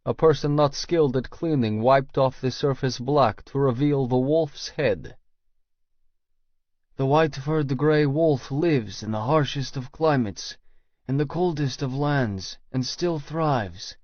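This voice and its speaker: Man, scripted novel narration, mid-range pitch, no echo, somewhat lo-fi. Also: slow. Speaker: audiobook narrator. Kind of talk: scripted first-person fiction narration.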